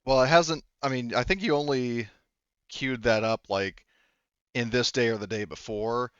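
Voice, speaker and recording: A man's voice: mid-range voice, moderate pace, clean tone, dry recording, highs cut off. A man running a tabletop roleplaying game as game master, narrating in a hesitant, improvised, rambling way.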